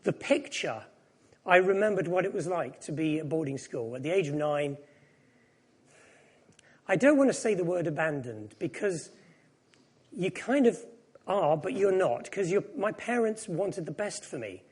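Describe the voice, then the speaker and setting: Middle-aged man, high husky voice, reflective sermon, wry and candid. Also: animated, a little slow, long pauses, a little mellow. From Japan. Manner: reflective, self-deprecating. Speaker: preacher. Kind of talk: personal sermon.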